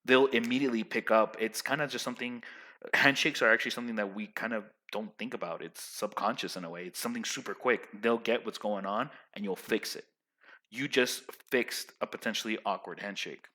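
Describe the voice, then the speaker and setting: A young man giving casual social advice to his viewers in a loud, emphatic, rambling way, full of restarts. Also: lowish voice, a little fast.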